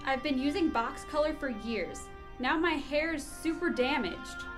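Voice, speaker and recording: Fairly high female advert voice over music. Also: animated, measured pace. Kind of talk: promotional radio ad read.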